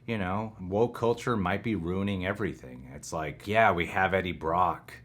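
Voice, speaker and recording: Young man, very low voice, casual reaction chat, playful boasting. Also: normal speed, fairly bright tone. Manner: jokey, self-mocking bravado. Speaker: co-host of a movie reaction show.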